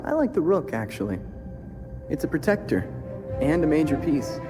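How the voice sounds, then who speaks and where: An actor playing a bright, playful, earnest young hero in a video game cutscene. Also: male voice, fairly high pitch, very animated, normal speed, music in the background. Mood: playful, confident.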